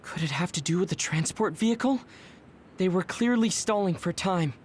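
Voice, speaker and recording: An actress playing a composed, analytical soldier in an anime-style video game, calm and formal. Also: female voice, on the low side, moderate pace, a little hiss or hum, natural voice.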